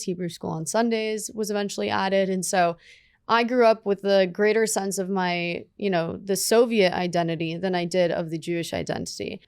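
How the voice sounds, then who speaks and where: A young woman whose first language was Russian, speaking earnestly and deliberately as a guest in a studio interview. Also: medium pitch, smooth, no echo. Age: young adult. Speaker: guest, student leader. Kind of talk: conversational interview. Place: dark studio set with sofas. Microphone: studio mic on a boom arm.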